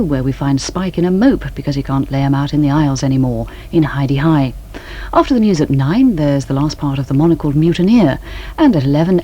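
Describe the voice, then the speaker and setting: A woman's voice: sits low, mellow, husky. A British woman reading scripted late-night television continuity as the announcer, on an old VHS recording of a 1980s broadcast.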